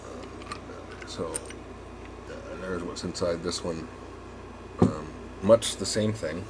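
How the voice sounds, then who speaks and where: A man's voice: low-pitched, takes it slowly, background noise. A man giving a casual hands-on repair demonstration, rambling and thinking aloud as he works. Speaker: RV repair technician presenting a tutorial.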